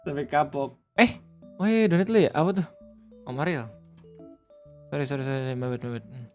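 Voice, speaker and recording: A man's voice: mid-range voice, animated, a little fast, soft background music. A man hosting a livestream, reacting casually, joking and laughing at himself. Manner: jokey, laughs often, mutters to himself. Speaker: livestream host. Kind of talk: casual reaction stream chat.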